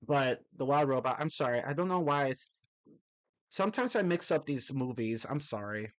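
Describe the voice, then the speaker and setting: A man's voice: medium pitch, lively intonation, moderate pace. Rambling young man streaming with viewers. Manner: rambling, self-correcting, casual swearing. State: slightly off voice after a cold. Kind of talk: casual live-stream chat, reading chat aloud.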